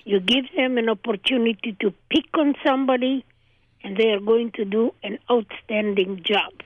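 A woman's voice: fairly high pitch, no echo. Older woman telling her story over the phone. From Transylvania. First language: Hungarian. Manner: measured storytelling, short spoken phrases. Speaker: guest. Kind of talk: oral history interview. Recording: dry tinny phone line.

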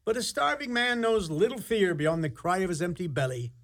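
A man's voice: high voice, very animated, a little fast, a bit bright. A man narrating a scripted radio play as storyteller, in a theatrical and wry manner.

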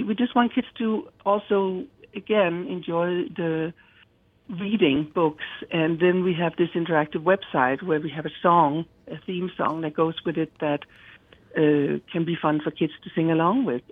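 A woman's voice: on the low side, moderate pace. Danish woman on a tinny phone line. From Denmark. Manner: chatty, cheerful, rambling with restarts. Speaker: guest, children's book author. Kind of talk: interview.

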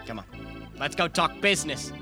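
A man playing a gruff, bossy baseball manager in a radio comedy, blunt and slangy. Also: a little high, expressive, moderate pace, loud music behind the voice, close to an everyday voice. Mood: skeptical, brisk.

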